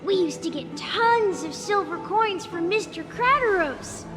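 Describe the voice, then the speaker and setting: A woman's voice: very high-pitched, lively intonation, a little slow, in a large hall, music in the background. A woman voicing an eager, proud little child of a warrior people in a video game, earnest and boastful. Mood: excited pride.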